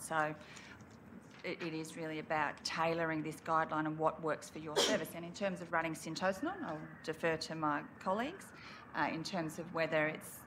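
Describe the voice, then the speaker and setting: A woman explains hospital procedures on a panel in a fluent, steady way. Her voice is notably low and her pace quick. Faint room noise sits behind her. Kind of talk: panel discussion.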